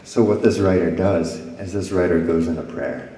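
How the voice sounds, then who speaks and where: A man's voice: low voice, moderate pace, dark tone, a little hiss or hum. A man preaching a sermon to a congregation, reading scripture dramatically and letting his voice swell and fall. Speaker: pastor. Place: church sanctuary before a congregation. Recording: echoey hall with long reverb.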